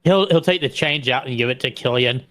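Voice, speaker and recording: A man's voice: mid-range voice, brisk, a bit bright, no echo. A man playing a tabletop role-playing game, casually describing what his character does.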